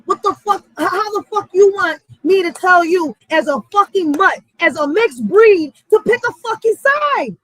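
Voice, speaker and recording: A woman's voice: extremely high pitch, lively intonation, normal speed, hardly any pauses, fairly bright tone, dry recording, low-quality recording. A woman giving a heated, profane casual monologue, blunt and emphatic, using street slang and repeating phrases for stress. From Puerto Rico or Puerto Rican background. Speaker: channel host.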